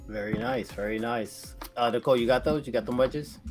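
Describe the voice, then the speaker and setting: A man hosting a podcast, asking his guest questions in a relaxed, friendly way. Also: medium pitch, a little fast, over music. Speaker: podcast host. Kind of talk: casual chat.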